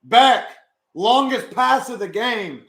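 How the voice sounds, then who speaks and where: A young man with a hoarse voice, chatting casually with his live chat as he watches a football game. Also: very high-pitched, lively intonation, slow, fairly bright tone, roomy sound. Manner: chatty, swears, talks to chat. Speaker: live stream host.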